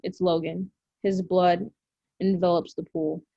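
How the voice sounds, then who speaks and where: A woman narrates a drama script, reading out the stage directions. Her voice sits fairly low, and the recording is very dry with a dull, lo-fi sound. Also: little pitch movement, measured pace, vocal fry, warm tone, slightly rough, dry recording. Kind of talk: scripted narration at a table read. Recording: dry, muffled lo-fi audio.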